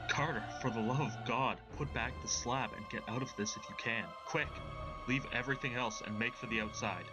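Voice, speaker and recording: Guy doing a scared doomed man in a horror tale, hoarse shaky pleading, loud music under it. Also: medium pitch, fairly quick, natural voice. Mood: frantic pleading. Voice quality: hoarse, quavering, whispery. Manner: urgent, pleading exclamations. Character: terrified, despairing man calling from a tomb below. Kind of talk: dramatic horror story reading. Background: loud background music.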